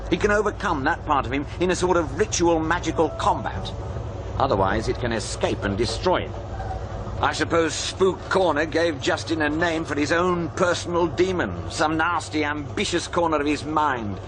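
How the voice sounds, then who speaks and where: An actor playing a wry, cynical paranormal sceptic, sardonic and world-weary in naturalistic audio drama dialogue. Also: male voice, fairly high pitch, animated, moderate pace, background noise, close to an everyday voice. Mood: explaining.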